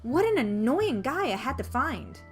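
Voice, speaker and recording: A woman's voice: high voice, very animated, unhurried, darkish tone, faint music behind, voice pushed up, exaggerated voice. A woman voicing an arrogant, irritable young spirit girl with a haughty, snippy manner. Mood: scornful.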